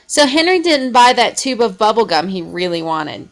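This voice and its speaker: A woman reading a children's story aloud in an expressive way. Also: fairly high pitch, moderate pace. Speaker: storybook reader.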